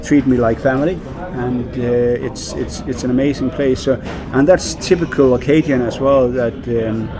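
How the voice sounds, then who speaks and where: Danish man, halting, crowd behind. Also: mid-range voice, low-quality recording. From Denmark. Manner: hesitant, many fillers and repeated words. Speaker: whisky brand ambassador. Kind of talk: casual interview.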